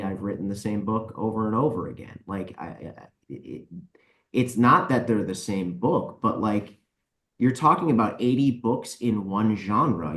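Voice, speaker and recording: A man speaking casually and emphatically as an author and publisher guest in a podcast panel discussion. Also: fairly low pitch. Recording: roomy echo on voice.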